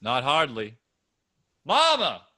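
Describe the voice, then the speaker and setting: An actor reading the part of a cocky, teasing young farm son in a staged play reading. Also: male voice, medium pitch, wildly swooping pitch, takes it slowly, bright, forceful tone, full character voice. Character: Ray, brash farm son, young man.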